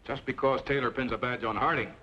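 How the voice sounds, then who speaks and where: A man's voice: medium pitch, fairly even intonation, fast, pushed and bright, natural voice. An actor playing a blunt, argumentative frontier townsman in an old-time radio western. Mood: challenging. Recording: muffled vintage radio recording, faint noise.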